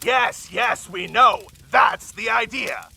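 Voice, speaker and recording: An actor playing a sneering villain in a low-budget animated film, heard in a clip. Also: male voice, slow pace, vocal fry, slightly rough, exaggerated voice. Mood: menacing.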